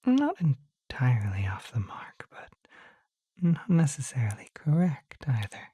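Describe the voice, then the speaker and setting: Woman as a slow, whispering gentle naga boy. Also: rumbling low, huge pitch swings, dry recording, heavily acted. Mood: shy, explaining. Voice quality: breathy whisper. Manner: soft, hesitant, halting. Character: gentle, shy young male naga protecting a human. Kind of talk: ASMR roleplay. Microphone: close microphone, dry.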